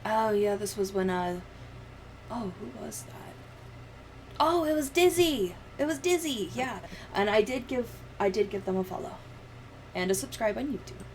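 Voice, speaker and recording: A woman's voice: medium pitch, animated, moderate pace, a little mellow, a little hiss or hum. A woman livestreamer chatting and telling stories in a casual, sweary, giggly way. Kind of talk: casual livestream chat, storytelling.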